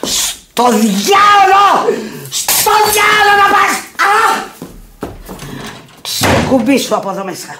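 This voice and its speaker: An actress in a radio drama playing a sick, bitter, foul-mouthed and desperate fallen woman, speaking theatrically and haltingly, often repeating words. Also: very high-pitched, very animated, sharp tone, full character voice. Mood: furious. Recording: noisy archival radio recording.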